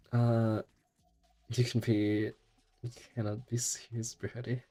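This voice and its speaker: A man's voice: mid-range voice, warm tone. A young man streaming a game while sick, chatting in a rambling way and laughing often. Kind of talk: casual gaming stream chat.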